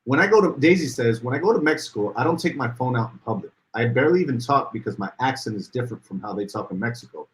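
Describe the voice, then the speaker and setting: A man co-hosting a casual podcast livestream, reading out viewer comments and getting animated as he talks. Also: fast talker, few pauses, low-quality recording. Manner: animated, rises in pitch when worked up. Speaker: podcast co-host.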